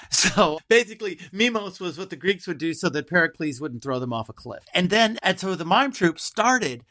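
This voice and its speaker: Casting: male theater guest, bright and lightly high-pitched, emphatic and conversational when explaining, recorded dry. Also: animated, moderate pace, no echo. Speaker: interview guest, theater writer, performer and director.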